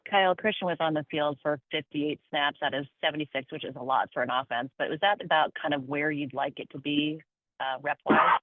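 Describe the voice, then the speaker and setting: Higher-pitched male reporter on phone line. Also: a little fast, no echo. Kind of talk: press conference questioning. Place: conference call. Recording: dry, phone-like conference line.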